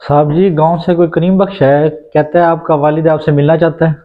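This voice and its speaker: A man's voice: fairly high pitch, fairly even intonation, dry recording, highs cut off, lightly acted. A man acting as a polite, deferential household servant in a moral drama, anxious to keep his job.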